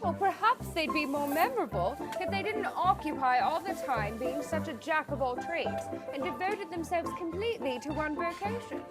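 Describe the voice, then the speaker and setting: High, teasing society belle acted by a woman. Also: expressive, normal speed, hardly any pauses. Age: young adult. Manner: theatrical, teasing. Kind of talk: scripted radio drama acting. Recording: loud music behind the voice.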